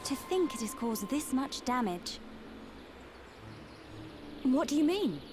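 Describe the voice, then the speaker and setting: A woman's voice: sits high, very animated, slow, exaggerated voice. A woman playing a composed, serious and knowing young warrior in a video game. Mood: grave, cautioning. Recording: music behind the voice.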